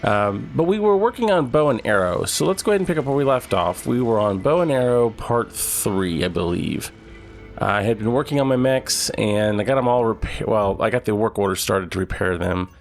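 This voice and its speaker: Nasal man with a gravelly voice. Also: fairly low pitch, normal speed, faint music behind. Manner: relaxed, thinking aloud. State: nasal from a cold. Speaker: gaming channel host. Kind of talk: casual gameplay commentary.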